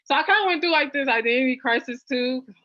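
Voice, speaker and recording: A young woman from New Orleans chatting as an interview guest, with lots of fillers and lively jumps in pitch. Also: fast, dry recording. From New Orleans, US South. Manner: chatty, many fillers, animated rises in pitch. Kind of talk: casual interview chat. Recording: dull lo-fi dry sound.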